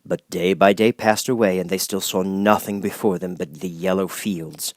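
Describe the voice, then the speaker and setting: A man's voice: deep voice, steady tone, moderate pace, no echo, clean studio sound. A man reading a children's audiobook as a scripted story narrator.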